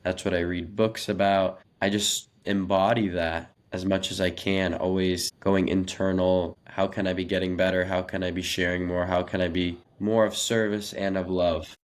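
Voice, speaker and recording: A man's voice: deep voice, little pitch movement, moderate pace, few pauses. A 21-year-old American man, a native Russian speaker, giving earnest, articulate answers as a podcast guest in a conversational interview. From Los Angeles, United States. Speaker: podcast guest, young startup founder.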